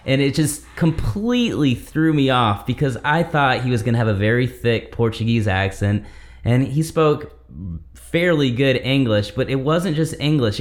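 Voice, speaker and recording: A man in his thirties on a podcast, casually telling a story with laughs and acted-out quotes. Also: medium pitch. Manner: animated, laughing, acts out quoted dialogue.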